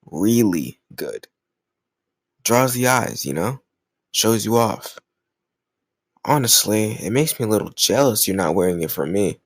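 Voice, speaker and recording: Man playing a cocky, gravelly flirt. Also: on the low side, expressive, no room sound, clean studio sound. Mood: admiring, jealous. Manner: teasing, cajoling, smug, drawn-out words. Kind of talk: intimate romantic audio roleplay.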